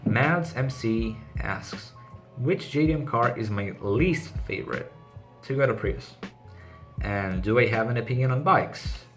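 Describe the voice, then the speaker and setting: A man's voice: mid-range voice, animated, measured pace, fairly bright tone. A man with a Greek accent reading out questions and answering them briefly and matter-of-factly in a casual vlog. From Greece. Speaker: car channel host. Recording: music playing behind the voice. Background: background music.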